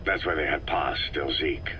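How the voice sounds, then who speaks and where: Game casting: legendary mercenary leader, extremely low and tense, few words, guarded and wary. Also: male voice, normal speed, sharp tone, a little hiss or hum, poor audio. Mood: grave. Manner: terse, guarded, questioning. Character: stoic, wary male legendary mercenary commander. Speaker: boss of a private army. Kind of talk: dramatic video game dialogue.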